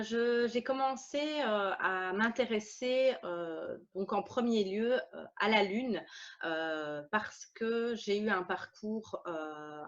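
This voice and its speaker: A woman giving a personal account as an interview guest, speaking haltingly and searching for her words. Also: a little high, a bit bright, lo-fi sound. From Belgium. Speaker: interview guest, women's energy healer and coach. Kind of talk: personal testimony in an informal interview.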